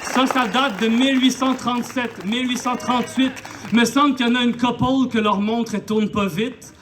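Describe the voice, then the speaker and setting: High-pitched Quebecois man reciting poetry. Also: steady tone, normal speed, crowd noise, noisy background. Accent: Quebec French. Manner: impassioned, declamatory, colloquial. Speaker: poet. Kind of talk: spoken-word poetry recited at a public celebration. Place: outdoors in a park.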